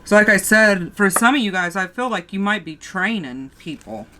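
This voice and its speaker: A woman's voice: mid-range voice, lively intonation, normal speed, pushed and bright, a little hiss or hum. A woman with a sore throat giving a casual tarot reading, talking straight to her viewers and often restarting her sentences. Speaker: tarot reader.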